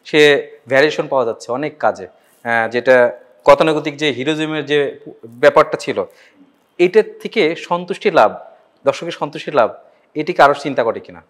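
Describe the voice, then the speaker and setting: A man's voice: a little high, normal speed, pauses often. A man with a Bangladeshi accent hosting an interview, putting long, wandering questions in a conversational way. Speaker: interviewer, show host.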